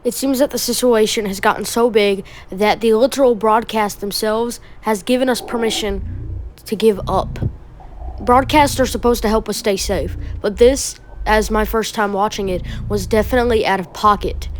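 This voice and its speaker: A woman's voice: medium pitch, normal speed, a little hiss or hum. A woman hosting a video essay, giving explanatory commentary on a horror video.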